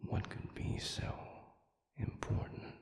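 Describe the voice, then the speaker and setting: A man playing an ancient, grave demon in a dramatic audio roleplay, speaking in a breathy half-whisper with halting, formal, solemn diction. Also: darkish tone, reverberant, natural voice. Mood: brooding, puzzled.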